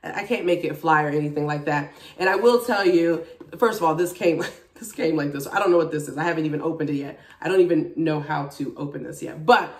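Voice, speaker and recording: A woman of about forty chatting casually to camera in a candid, expressive, emphatic way, recorded at home from a few feet away. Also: low-pitched, fairly quick, a little hiss or hum. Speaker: vlogger, TV and radio personality. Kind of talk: casual chat to camera about a personal journey. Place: small indoor room at home. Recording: roomy echo, distant microphone.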